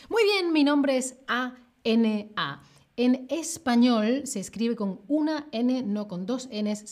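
A woman, teaching on a live stream and answering viewers' chat questions in a lively, expressive way. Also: fairly high pitch. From Spain, Seville. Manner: lively and expressive, slows down to spell out words clearly. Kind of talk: live-stream Spanish lesson, chatting with viewers.

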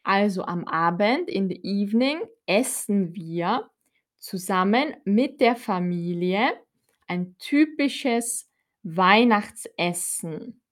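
A woman leads a live-streamed language lesson at a deliberately slow pace. Her voice sits at an ordinary middle pitch, and she speaks clearly, repeating key words for her learners. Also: expressive. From Austria. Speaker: German teacher.

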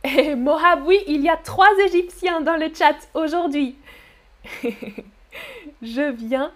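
A woman teaching a live French lesson, reading out viewers' chat messages and praising their answers with repeated encouragement. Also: high-pitched. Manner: slow, careful articulation for learners.

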